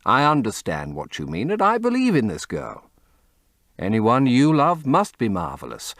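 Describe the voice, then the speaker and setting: A man's voice: on the high side, dry recording, studio quality, pitched above the performer's own voice. A man voicing an earnest, noble-minded painter in an audiobook, speaking fervently and in an oratorical way. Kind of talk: audiobook character acting.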